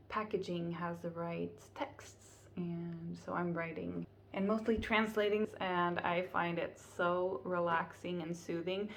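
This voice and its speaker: A woman's voice: on the low side, vocal fry, slight background noise. A woman whose first language is Finnish, talking casually to camera in her home kitchen, chatty and often laughing. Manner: chatty, laughs often, thinks aloud with fillers. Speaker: vlogger. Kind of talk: casual vlog talk to camera.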